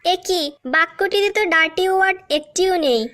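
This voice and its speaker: A woman's voice: very high-pitched, normal speed, fairly bright tone. A woman voicing a female schoolteacher quizzing her pupil in a cartoon joke sketch. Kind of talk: Bangla cartoon joke dialogue.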